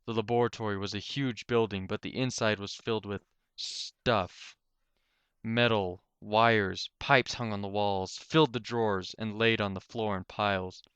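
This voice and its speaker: A man narrates a written story in a fairly low, clear voice. He is an amateur reader, and the recording is dry and close. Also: fairly even intonation, a little slow, a bit bright, no room sound, highs cut off.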